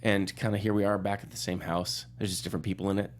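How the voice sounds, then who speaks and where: A man's voice: low voice, level tone, quick pace. Man chatting casually about films. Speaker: film journalist on a movie news talk show. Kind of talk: casual film review chat.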